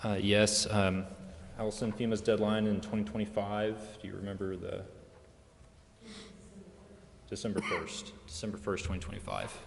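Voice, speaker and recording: A man giving measured, slightly hesitant technical answers as an engineer at a public meeting. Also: lowish voice, fairly even intonation, gravelly, a little hiss or hum. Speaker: consulting engineer answering questions. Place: council chamber. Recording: reverberant meeting hall.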